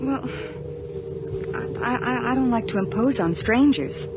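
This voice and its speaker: Casting: nervy young woman lost in the snow, highly animated, higher voice, horror radio play with noisy sound. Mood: hesitant. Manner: halting, exclaiming, wisecracking. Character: nervous, chatty young woman stranded in snow. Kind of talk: 1980s radio horror drama acting. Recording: noisy archived radio drama.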